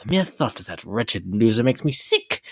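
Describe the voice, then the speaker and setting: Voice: medium pitch, very animated, normal speed, dry recording, clean studio sound. A performer voicing a flirtatious, unhinged and sadistic pony lady in a dramatic comic reading, with affected, drawling endearments. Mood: disdainful.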